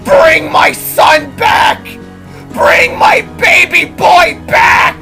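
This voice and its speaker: A man's voice: extremely high pitch, drawn-out words, vocal fry, pushed and bright, shouting, faint music behind, pitched above the performer's own voice, exaggerated voice. A man voicing an anguished, enraged spark, a grieving father screaming in sorrow and fury in a fantasy audio story.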